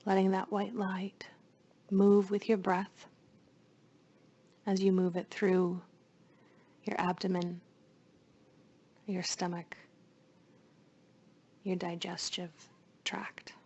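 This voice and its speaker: A woman leading a guided meditation in a soothing, hushed voice, with phrases often trailing into breath. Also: medium pitch, monotone, slow, pauses often, breathy edge, dull audio.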